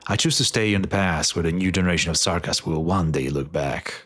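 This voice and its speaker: A man playing a grim, weary and resolute war leader in a fantasy game dub, speaking gravely and deliberately. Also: low-pitched, a bit bright. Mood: stern resolve.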